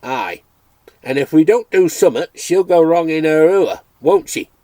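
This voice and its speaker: A man's voice: fairly high pitch, expressive, unhurried, no room sound, pitched above the performer's own voice. A man doing a hearty farmer. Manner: broad rural dialect, hearty and loud. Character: plump, eager male farmer.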